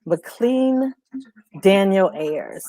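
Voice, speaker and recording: Woman, very lively intonation, slangy, music show co-host, casual conversation. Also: medium pitch, very slow. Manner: animated, slangy.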